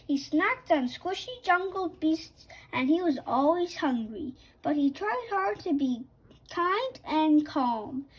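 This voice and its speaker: High-voiced woman reading a storybook slowly. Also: lively intonation, poor audio. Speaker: children's story reader. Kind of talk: scripted storybook narration for children.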